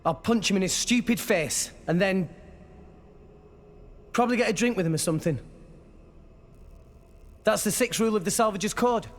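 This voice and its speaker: A man's voice: high-pitched, normal speed, long pauses. An actor playing a blunt, earnest teenage salvager boy. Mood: determined. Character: brash young male salvager hero, teenage.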